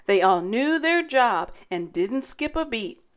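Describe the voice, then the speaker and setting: A woman hosting story time, reading a rhyming children's picture book aloud in a dramatic, deliberate way. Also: a little high, very animated. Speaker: story time host and narrator.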